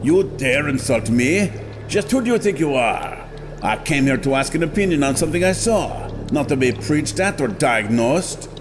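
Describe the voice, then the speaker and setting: An actor playing a proud, suspicious gentleman in an audio drama, formal and emphatic, quick to take offence. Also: mid-range voice, expressive, background noise, natural voice. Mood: indignant.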